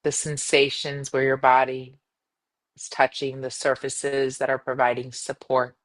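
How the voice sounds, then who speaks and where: A woman leading a guided meditation in a gentle, soothing manner, speaking in measured phrases with long pauses. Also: extremely low pitch, completely flat delivery. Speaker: yoga nidra meditation guide.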